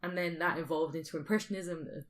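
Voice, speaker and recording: A woman's voice: deep voice, steady tone, fast talker. A woman podcast co-host in casual speculative discussion, thinking aloud and often posing questions.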